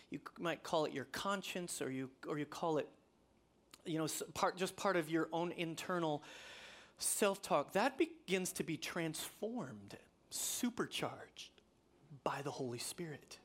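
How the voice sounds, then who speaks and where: A man preaching to his congregation as their pastor. He tells personal stories with real animation, his voice climbing high as the tension builds, then lands his points by repeating them with emphasis. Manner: animated storytelling, voice climbing high when excited; emphatic, repeating key phrases. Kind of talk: sermon.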